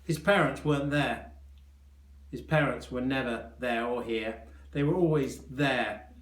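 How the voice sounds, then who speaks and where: A man reading a children's story aloud as a teacher would, with expressive narration. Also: medium pitch, measured pace. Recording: echoey room.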